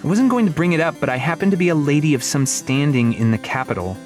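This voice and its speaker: A man's voice: a little high, lively intonation, quick pace, soft background music, voice pushed up, lightly acted. A man voicing a haughty, chatty young girl librarian from a storybook, prim and easily flustered. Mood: haughty, offended. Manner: prim, indignant, talkative. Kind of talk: storybook character acting.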